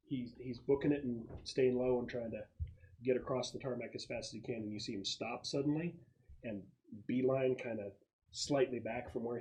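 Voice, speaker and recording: Guy game mastering, medium pitch, halting casual narration with some swearing. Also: darkish tone, slight background noise, low-quality recording. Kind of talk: improvised tabletop game narration.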